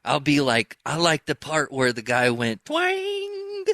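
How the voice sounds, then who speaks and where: A man's voice: a little high, wildly swooping pitch, slow, bright, forceful tone, no echo, studio quality. A man co-hosting a casual interview in informal, conversational language. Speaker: interviewer, co-host.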